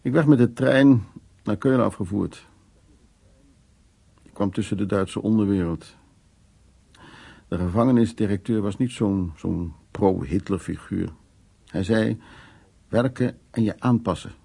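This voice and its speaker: Older war survivor, low husky voice, dark tone, halting plain-spoken storytelling, brooding, radio play. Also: male voice, exaggerated voice. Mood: grim, reflective. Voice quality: gravelly, husky. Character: brooding old Dutch war survivor telling his memories. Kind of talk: radio drama acting.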